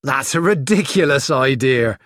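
A man's voice: medium pitch, expressive, measured pace, a bit bright, no echo, studio quality. A man reading the part of a police inspector, curt and matter-of-fact. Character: brisk male police inspector.

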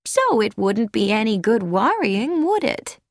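A woman lifts her voice into a sweet, untroubled elderly lady with a soft and musical way of speaking. She sounds higher than the narration and lilts freely up and down. The recording is close and dry. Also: sing-song, measured pace, no room sound. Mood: gentle. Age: older. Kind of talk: audiobook character voice.

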